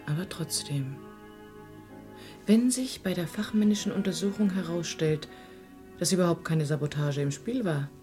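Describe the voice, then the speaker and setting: A sceptical, indignant female suspect played by an actress in a radio crime play. Her voice sits on the low side, pointed and questioning, with music behind it. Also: normal speed, long pauses, mellow, natural voice. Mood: reasoning. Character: sceptical, indignant, reasoning woman under police suspicion. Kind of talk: German radio crime drama acting.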